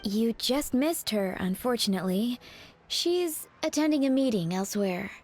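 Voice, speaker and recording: An actress playing a composed, courteous undertaker in a video game, with a formal, measured, discreet manner. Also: female voice, a little high, lively intonation, darkish tone, faint music behind. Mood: helpful. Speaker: funeral parlor staff character.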